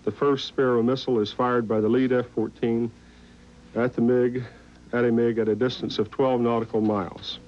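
An American man in his forties giving a blunt, deliberate press briefing from a podium. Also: mid-range voice, little pitch movement. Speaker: Pentagon spokesman. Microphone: podium mic. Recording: muffled archival tape, faint noise.

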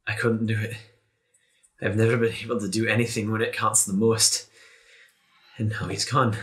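A man's voice: on the low side, little pitch movement, moderate pace, fairly bright tone, lightly acted. A man playing a grief-stricken, self-blaming male adventurer in a tabletop game, with a despairing, confessional manner. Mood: sorrowful.

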